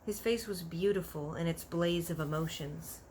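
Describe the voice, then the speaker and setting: A woman narrating a children's novel aloud in an animated storytelling style. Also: on the low side, moderate pace, slight background noise, poor audio. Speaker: storybook reader.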